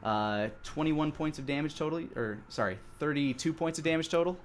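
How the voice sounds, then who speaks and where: A man in his thirties narrating a tabletop game as game master, descriptive, with hesitant pauses. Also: a little high, slight background noise. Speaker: game master and stream host. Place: indoor room at home, live stream. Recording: music playing behind the voice. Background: background music.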